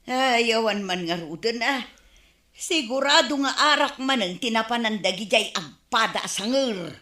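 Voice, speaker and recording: A woman's voice: a little high, animated, measured pace, bright, forceful tone. A woman playing a worried, fretful village wife in an Ilocano radio comedy drama, with an Ilocano Filipino accent. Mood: indignant. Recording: roomy, echoing studio sound.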